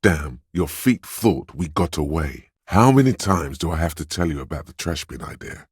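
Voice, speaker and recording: A man voicing a suave, wry inner voice of a detective in a video game, narrating in a measured, knowing way. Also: very deep voice, expressive, breathy edge, dry recording, studio quality, full character voice. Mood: sly, urging. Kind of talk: second-person game narration.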